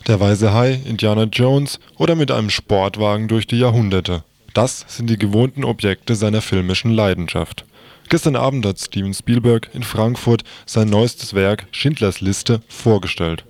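Male radio presenter, lowish voice, close dry sound, scripted report delivery. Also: darkish tone, no echo.

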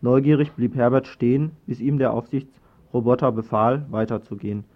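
A man with a medium-pitched voice narrates a scripted dystopian story. The recording is dull and lo-fi, in the manner of an eighties radio broadcast. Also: fairly even intonation, moderate pace.

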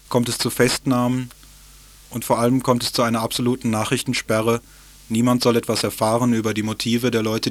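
A man narrating a radio documentary on past events in a steady, even reading. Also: medium pitch.

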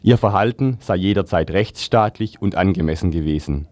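A man's voice: low voice, moderate pace, a little mellow, no echo. A man reading scripted news on the radio as a newsreader. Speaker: news reader.

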